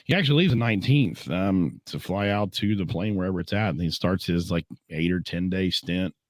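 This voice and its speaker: A man with a low, hoarse voice hosts a relaxed podcast conversation. He clears his throat often and talks in a laid-back way. The sound is dry and close. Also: fairly quick, no room sound. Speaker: podcast host. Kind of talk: casual chat.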